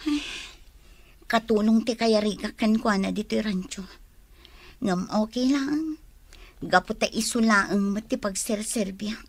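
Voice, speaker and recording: A woman's voice: medium pitch, unhurried, long pauses, dry recording. A woman with a Filipino accent acting a devoted young woman wounded in love in a radio drama. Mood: hurt, confiding.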